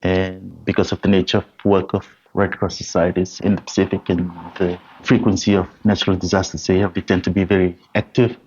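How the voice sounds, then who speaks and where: A man's voice: low-pitched, little pitch movement, fairly quick, few pauses, a little mellow, a little hiss or hum. A man from Fiji, a humanitarian guest, talking in a remote interview over a video call, hesitant and often restarting. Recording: dull lo-fi call audio.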